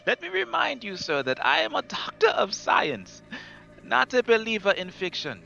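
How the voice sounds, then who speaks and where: A man's voice: high voice, wildly swooping pitch, normal speed, vocal fry, sharp tone, faint music behind, heavily acted. A man playing a pompous scientist. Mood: scornful. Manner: pompous and demanding. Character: arrogant, skeptical male doctor of science. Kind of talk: audio drama acting. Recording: slightly dull lo-fi recording.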